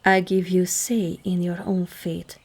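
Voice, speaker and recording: A woman playing a regal, seductive ancient demon queen in an ASMR roleplay, measured and commanding, with a husky, breathy, creaky voice that often softens toward a whisper. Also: fairly low pitch, flat delivery. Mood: solemn, persuasive. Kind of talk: ASMR roleplay, scripted monologue to the listener.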